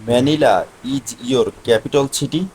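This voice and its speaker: A Bangladeshi man in his thirties talks in simple English, often repeating his questions. His voice sits at a middle pitch. A clear background noise runs under this video call. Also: moderate pace. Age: 30s. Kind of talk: casual video chat. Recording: noisy video call line.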